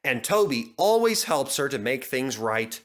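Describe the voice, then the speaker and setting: A man reading a children's railway story aloud with animated, expressive narration. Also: medium pitch, unhurried.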